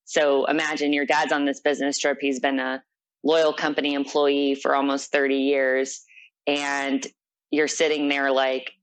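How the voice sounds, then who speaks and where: A woman's voice: rumbling low, level tone, a little slow, low-quality recording. A young adult woman being interviewed, telling a personal story in an earnest, reflective way. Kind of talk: personal account in conversation.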